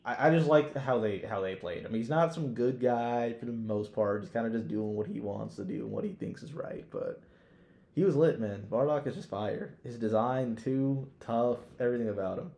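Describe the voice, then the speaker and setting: A man's voice: mid-range voice, moderate pace, creaky, mellow. A man chatting casually as a podcast co-host, with an emphatic, slangy, sweary way of talking. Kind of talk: casual chat ranking favourites. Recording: echoey room.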